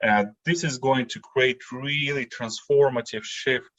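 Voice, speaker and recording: A man's voice: mid-range voice, steady tone, a little slow, fairly bright tone, muffled recording. A man, giving explanatory answers as a podcast guest. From Latvia. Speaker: podcast guest, industry consultant. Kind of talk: interview answers, explanatory talk.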